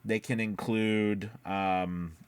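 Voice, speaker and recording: A man's voice: lowish voice, fairly even intonation, drawn-out words, smooth, dry recording. A man hosting a casual instructional vlog as a coach, explaining conversationally and often checking in with the listener. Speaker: voice-over coach and video host.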